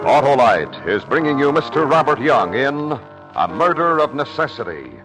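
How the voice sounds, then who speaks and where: A man's voice: mid-range voice, very animated, normal speed, over music, rough recording. A man introducing a radio thriller in a grand, theatrical announcing style. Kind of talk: dramatic 1950s radio announcing.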